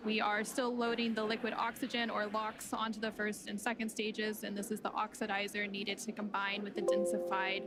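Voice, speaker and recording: A woman giving measured, informative live commentary as a broadcast presenter, explaining each step as it happens. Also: mid-range voice, monotone. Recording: music playing behind voice. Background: music.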